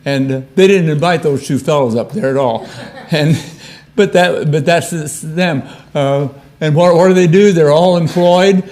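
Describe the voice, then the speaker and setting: An older man, a retired professor, giving a halting, folksy seminar talk in a lecture room. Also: on the high side, a little mellow. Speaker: professor emeritus.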